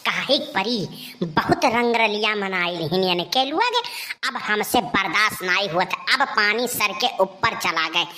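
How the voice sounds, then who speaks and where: A woman's voice: a little high, pushed and bright. A woman voicing a scandalized, tattling village busybody in a comedy cartoon, grumbling indignantly with an Awadhi accent.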